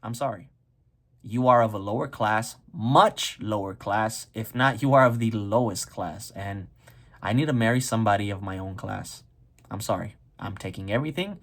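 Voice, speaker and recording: Man playing a cold, low-voiced dismissive nobleman. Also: moderate pace. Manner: flat, firm, curt. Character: cold, dismissive nobleman abandoning his wife.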